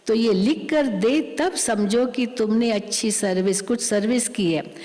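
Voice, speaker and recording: A woman spiritual teacher giving a sermon, explaining a scripture reading slowly and repeating her points. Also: fairly high pitch, mellow. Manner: slow, repetitive, explanatory. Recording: long hall reverb.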